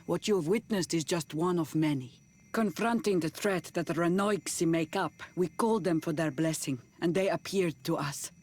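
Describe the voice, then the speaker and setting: An actress playing a proud, solemn female clan spiritual leader in a fantasy video game, stern and measured as she explains. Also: on the low side, darkish tone, close to an everyday voice. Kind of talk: video game character acting.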